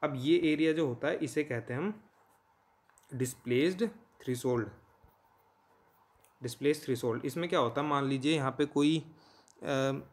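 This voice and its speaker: A man's voice: mid-range voice, moderate pace, pauses often. An Indian man teaching in an informal, conversational way, explaining step by step and often checking in with listeners. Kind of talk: informal explanatory lesson in Hindi mixed with English terms.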